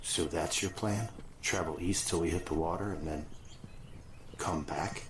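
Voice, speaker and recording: A man playing a steady, practical ghost scout in an Old West audio drama, calm and plainspoken, with an echoey sound. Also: deep voice, measured pace, a little hiss or hum. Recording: echoey effect, music behind.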